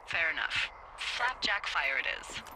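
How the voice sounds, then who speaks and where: A woman's voice: deep voice, sing-song, a little slow, noisy background. A woman with an American accent playing a wry, flirtatious fire lookout in a video game, talking candidly over a two-way radio. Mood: playful. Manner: wry, teasing, conversational.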